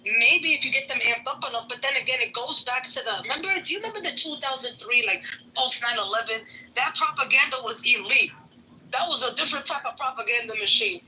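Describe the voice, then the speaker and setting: A woman's voice: on the high side, animated, fast talker, bright, forceful tone, a little hiss or hum, rough recording. A woman from New York who works as an immigration law clerk, talking bluntly and profanely in a casual voice-chat room, firing off rhetorical questions. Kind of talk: heated casual chat on a voice-chat app. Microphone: phone mic on a voice-chat app.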